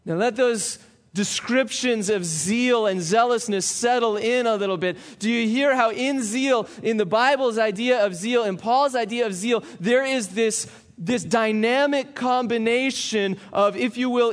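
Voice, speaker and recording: High-voiced male pastor preaching. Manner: slows down for scripture reading, conversational asides. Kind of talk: sermon.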